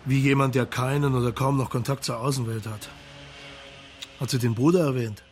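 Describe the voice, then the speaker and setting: An actor playing a pensive, sceptical police marshal in a radio crime drama, thoughtful and questioning. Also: male voice, mid-range voice, fairly even intonation, moderate pace, faint music behind, close to an everyday voice.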